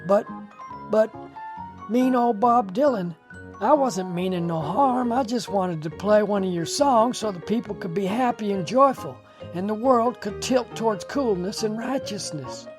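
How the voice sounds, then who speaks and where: A man's voice: high voice, expressive, unhurried, music in the background. A man voicing a small, meek, well-meaning radio guy in a comic story, stammering and pleading.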